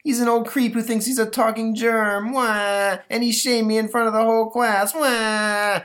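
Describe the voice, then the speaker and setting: A man's voice: very high-pitched, flat delivery, normal speed, fairly bright tone, pitched above the performer's own voice. A man voicing a sneering, whiny schoolboy bully in a comic story. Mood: whining.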